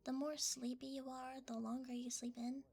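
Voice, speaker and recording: A woman's voice: high-pitched, little pitch movement, normal speed, darkish tone, husky. A woman voicing a shy, cuddly shapeshifting creature in an ASMR roleplay, hesitant and easily flustered, often half-whispering. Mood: sleepy, gentle.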